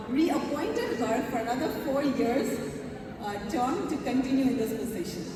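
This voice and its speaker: A woman with a high voice hosts a live stage interview, delivering a formal prepared introduction. She sounds far from the mic in a large hall with long reverb and an audience murmuring behind her. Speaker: event moderator. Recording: echoey hall, distant mic.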